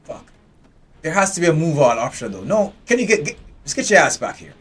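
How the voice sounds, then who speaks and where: A man's voice: a little high, very animated, fairly quick, fairly bright tone, husky. Blunt, profane man streaming a game. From Jamaica. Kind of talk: live gameplay commentary.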